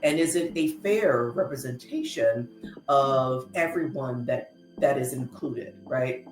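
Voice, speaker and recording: A woman from San Diego whose first language is Spanish, co-hosting a casual tech chat over a video call, expressive and checking in with "right?". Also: very deep voice, slow pace, roomy sound, soft background music. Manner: expressive, says "right?" often. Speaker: co-host, coach.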